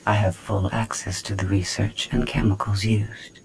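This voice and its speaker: An actor voicing a cold, sadistic artificial intelligence in a video game, speaking in measured, formal menace. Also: male voice, on the low side, little pitch movement, gravelly, slight background noise, poor audio.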